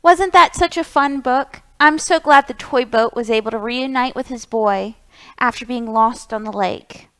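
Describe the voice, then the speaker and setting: A woman leads a storytime for young children in a high, clear voice with a smooth ring to it. She reads and explains in an encouraging way, speaking straight to the children as their host. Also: moderate pace, a bit bright. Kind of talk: children's storytime reading and craft instruction.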